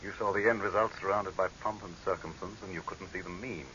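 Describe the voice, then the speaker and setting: A hissy, dull 1930s radio horror recording carries a proud dictator trapped and facing death. His voice is low and forceful, and he speaks like a commanding orator, full of contempt for whoever hears him. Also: steady tone, fast talker. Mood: calm, mocking.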